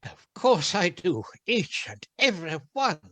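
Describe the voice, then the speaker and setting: A woman's voice: lowish voice, sing-song, a little slow, pushed and bright, no echo, exaggerated voice. A woman acting a wistful elderly man moved by his memories in a radio play, exclaiming and murmuring sentimentally.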